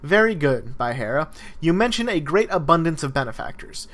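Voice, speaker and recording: A man's voice: a little high, very animated, brisk, a bit bright. A man playing an indignant philosopher cross-examining his accuser with pointed rhetorical questions, reading a dialogue aloud. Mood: pointed, questioning.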